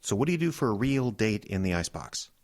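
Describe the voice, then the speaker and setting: A man's voice: fairly low pitch, sing-song, quick pace, a little mellow. A man playing a well-meaning, clueless boss in a comedic audio drama, hesitant and stammering. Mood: sheepish. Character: well-meaning, bewildered, socially clueless male radio-show boss.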